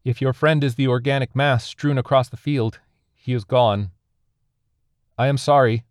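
A man's voice: mid-range voice, normal speed, dry recording, studio quality, voice pushed up, lightly acted. A man voicing a wounded, grief-stricken alien envoy who pleads in formal, solemn speech for an audiobook-style story. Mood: solemn, guarded.

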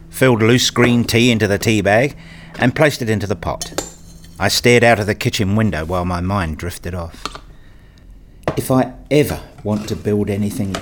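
Male narrator for a scripted first-person audio drama, lower register, also voices the dad's dialogue. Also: a little hiss or hum. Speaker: narrator and father in an audio play.

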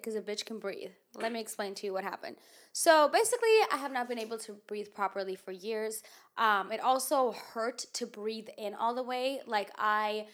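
A woman's voice: on the high side, a bit bright. A woman hosting a podcast in casual chat, with a nasal voice and a rambling, filler-heavy way of talking. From United States. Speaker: podcast host.

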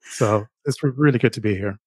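A man's voice: lowish voice, brisk, husky, no echo. Enthusiastic middle-aged man, dry sound. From Jamaica. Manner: enthusiastic storyteller, some restarts, laughs. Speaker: author and podcaster guest. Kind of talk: casual interview chat.

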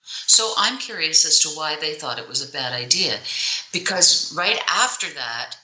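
A woman with a low speaking voice that still cuts through brightly. She coaches on public speaking in an emphatic, deliberate way, leaning on pauses and changes of tone. The recording has an echoey room around her. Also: expressive, a little hiss or hum. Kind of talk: interview answers, instructive.

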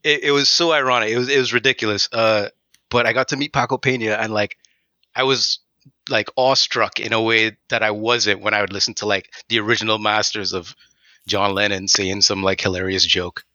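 A man's voice: fairly low pitch, steady tone, fairly quick, sharp tone, dry recording, highs cut off. A man from Trinidad, a game audio designer, talking casually and animatedly with many fillers in an interview.

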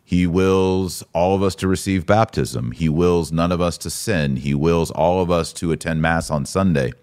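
Deep-voiced man explaining religious views. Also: normal speed, a little mellow. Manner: explanatory, teacherly, emphatic. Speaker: podcast host. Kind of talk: conversational religious commentary.

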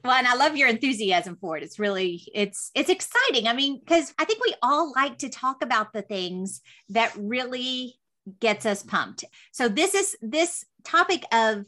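A woman hosts an interview podcast in a high-pitched voice with a bright, forward edge. She is chatty and emphatic, stacking phrases as she goes. The style is informal and conversational. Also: sing-song, moderate pace. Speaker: podcast host.

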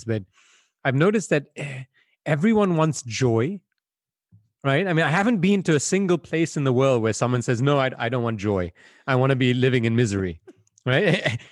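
A man's voice: medium pitch, no room sound, studio quality. A man from Belgium, a guest on an interview, answering in a reflective, conversational way with frequent fillers over a video call.

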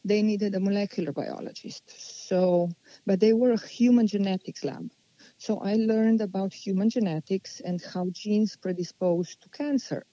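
A woman, answering interview questions unhurriedly and pausing as she thinks. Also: mid-range voice, fairly even intonation, mellow, dry recording, dull audio. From Slovenia. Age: 40s. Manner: unhurried, thoughtful, with many pauses and restarts. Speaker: guest.

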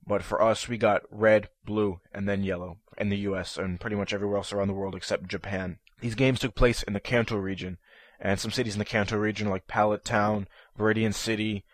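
A man's voice: lowish voice, little pitch movement, fairly quick, dry recording. A man hosting a podcast episode on his own, sounding nasal from a stuffy head cold, eager and prone to ums and restarts. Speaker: podcast host. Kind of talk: solo podcast talk.

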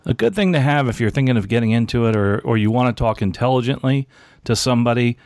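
A man's voice: mid-range voice, fast, darkish tone, no room sound. A man hosting an aviation podcast, chatting casually and giving encouraging advice with frequent restarts. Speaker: podcast host.

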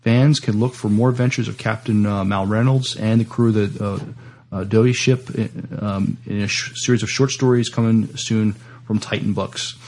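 A man co-hosting a podcast, giving casual opinions and news in a hesitant, halting delivery. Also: fairly low pitch, steady tone, darkish tone. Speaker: podcast co-host. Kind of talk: casual discussion and reading of sci-fi news.

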